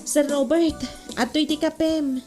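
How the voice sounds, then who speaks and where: Woman playing high-voiced office co-worker. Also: steady tone, moderate pace, loud music behind the voice, lightly acted. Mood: deferential. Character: gossipy female office co-worker. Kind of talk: comedy audio drama acting.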